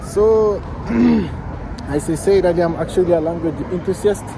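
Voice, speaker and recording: Kenyan guy vlogging outside, kinda high voice, rambly, traffic noise all around. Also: a little slow, gravelly. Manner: chatty, rambling, self-correcting. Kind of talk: casual walking vlog. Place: outdoors on a city street.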